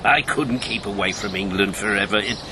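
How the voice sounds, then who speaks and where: A man's voice: on the low side, a little fast, background noise, close to an everyday voice. A man acting in a radio drama as an old former secret agent, jovial and world-weary, with a theatrical, bantering manner. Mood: wry, reflective. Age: 70s.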